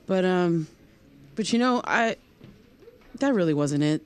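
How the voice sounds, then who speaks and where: A woman playing an awkward, guarded young loner in naturalistic audio drama, speaking in a hesitant, halting way. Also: on the low side, lively intonation, clear, resonant tone. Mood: reflective, uneasy.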